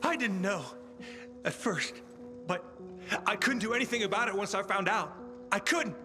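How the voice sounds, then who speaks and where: High-pitched, pleading, cornered scout. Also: male voice, animated, moderate pace, over music. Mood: guilty, desperate. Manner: stammering, pleading. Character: frightened, guilt-ridden male scout, pleading, cornered. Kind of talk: video game voice acting.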